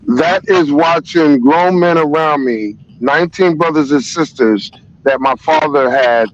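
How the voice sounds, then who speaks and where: An older man arguing back as a guest in a heated panel debate, insisting and repeating himself to be heard. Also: fairly high pitch. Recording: dull lo-fi sound.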